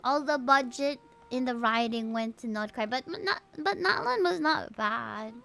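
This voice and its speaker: A woman's voice: high voice, expressive, moderate pace, gravelly, sharp tone. A woman livestreaming a story game, reading the subtitles aloud and reacting with open emotion.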